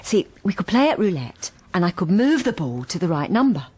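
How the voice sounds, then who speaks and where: A woman's voice: fairly low pitch, sing-song, fairly quick, darkish tone, slightly rough. A woman playing a timid, anxious wife in a radio drama, speaking hesitantly with stammering restarts, both in dialogue and intimate narration. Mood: nervous, earnest. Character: anxious, timid wife who has turned invisible.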